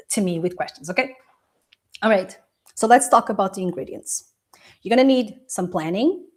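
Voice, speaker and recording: A Portuguese-speaking woman talks straight to her viewers on a live stream, chatty and encouraging. She laughs easily between points. Her pitch sits in the middle range. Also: darkish tone. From Portuguese Angolan. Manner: chatty and encouraging, laughs often, sips tea. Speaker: coach hosting a live tea session.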